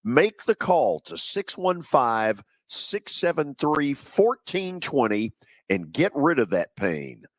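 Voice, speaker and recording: American guy hosting sports radio, husky voice, pitch goes up and down a lot, mid range. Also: sing-song, takes it slowly, no echo. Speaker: radio show host. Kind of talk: sports talk and read sponsor spots.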